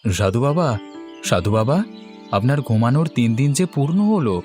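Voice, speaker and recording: A man's voice: mid-range voice, expressive, normal speed, darkish tone, faint music behind, lightly acted. A man playing a devout, anxious king and father in a fairy-tale cartoon, with a theatrical, deferential and pleading manner. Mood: reverent, eager.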